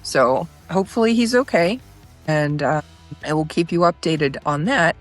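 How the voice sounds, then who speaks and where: A woman's voice: on the low side, animated, moderate pace. Woman host doing an interview show, faint music under her voice.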